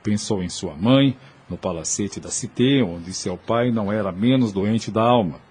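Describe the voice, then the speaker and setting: Husky Brazilian man narrating audio drama. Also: lowish voice, unhurried, a little mellow.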